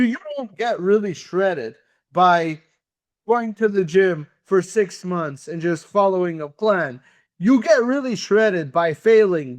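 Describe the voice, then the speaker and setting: A man's voice: high-pitched, dry recording. A man whose first language is Russian, a podcast guest talking earnestly about hard work, often repeating words as he starts sentences. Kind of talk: conversational interview.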